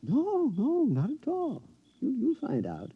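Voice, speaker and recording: Excitable henpecked schemer, wildly swooping pitch. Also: male voice, takes it slowly, exaggerated voice. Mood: smug, delighted. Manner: excitable, stammering, conspiratorial. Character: henpecked husband, gleefully scheming and secretive. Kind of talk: mid-century radio drama acting. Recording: dull, noisy vintage radio recording.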